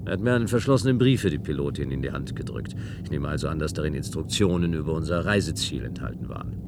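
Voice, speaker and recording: Ein Schauspieler spielt im Hörspiel einen gewandten, flapsigen Regierungsreferenten, der höflich und ironisch plaudert. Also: male voice, very deep voice, expressive, quick pace, heavily acted. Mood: playful. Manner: breezy, ironic, chatty. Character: glib, flippant government aide, courteous and teasing. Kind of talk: German radio play acting. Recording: radio play with clear background noise.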